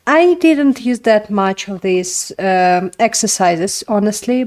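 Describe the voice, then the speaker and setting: Young adult woman, Russian first language; relaxed, rambling video host tone, filler-heavy. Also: mid-range voice. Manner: chatty, filler-heavy, frequent "like". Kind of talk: casual book review to camera.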